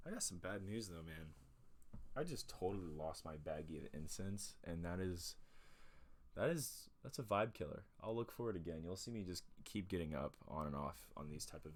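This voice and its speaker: A young man with a very low voice rambles easily between tracks, joking at his own expense. He talks in a relaxed, unhurried way, with a faint hum of room noise behind him. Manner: rambling, self-mocking and laid-back. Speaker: host. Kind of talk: casual chat between songs.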